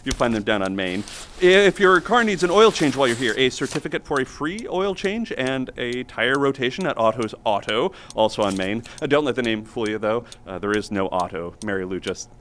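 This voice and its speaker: Male actor as over-eager, folksy small-town welcomer for audio drama; animated, chatty delivery, faint background noise. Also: medium pitch, fairly quick, close to an everyday voice. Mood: eager, chipper. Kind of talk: naturalistic audio drama acting.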